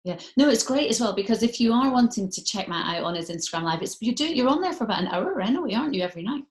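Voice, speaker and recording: A woman's voice: a little high, lively intonation, very fast. A woman presenting a casual interview, chatty and encouraging. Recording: echoey room on video call.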